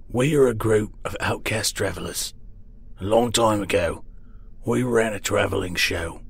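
A man voicing a grotesque, remorseful man-eating beast in a fantasy horror story, speaking gravely and in measured phrases. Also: sits low, full character voice. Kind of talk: dramatised fantasy horror audiobook.

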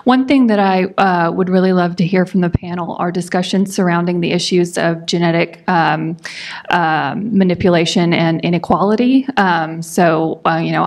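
A young woman with a slightly low voice asks a question from a conference audience. She is hesitant and pauses often as she forms it. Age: young adult. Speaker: audience questioner, PhD candidate.

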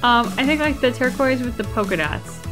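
A woman's voice: on the high side, expressive, brisk. A woman in her thirties chatting casually as co-host of a game reaction. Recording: loud game music under the voice.

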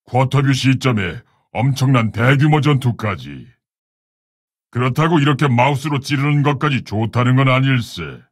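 A man playing a proud, solemn orc warchief in a video game, gravelly and husky, speaking in a commanding, stately, formal way. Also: mid-range voice, expressive, moderate pace, no echo, studio quality, natural voice. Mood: wry, relaxed. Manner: commanding, stately, old-fashioned formal speech. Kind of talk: video game hero voice lines.

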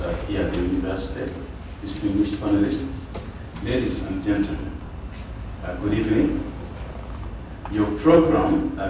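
An older Ugandan man speaks in a formal, measured way with a fairly low voice. He sits back from a table mic, and the room rings with heavy echo over steady hiss. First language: Luganda. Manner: measured, formal, halting with fillers. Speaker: panel speaker. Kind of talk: formal symposium address. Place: lecture hall panel table. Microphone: table mic on stand. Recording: echoey room, distant mic, background hiss.

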